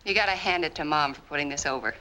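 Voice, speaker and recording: An actress playing a brassy, mocking daughter of a scheming family in a 1940s crime film, slangy and wisecracking. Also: female voice, deep voice, fast talker, pushed and bright, low-quality recording.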